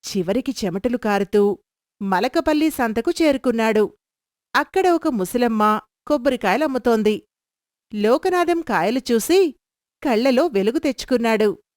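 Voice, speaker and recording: A woman narrating a storybook tale for children in a lively storytelling manner. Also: fairly high pitch, moderate pace, long pauses, dry recording, clean studio sound.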